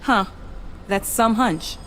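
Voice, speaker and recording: A woman's voice: mid-range voice, slow pace, a bit bright, slight background noise. An actress playing an earnest, determined young woman in a video game, speaking with intense, direct emotion. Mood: alarmed. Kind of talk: dramatic video game acting.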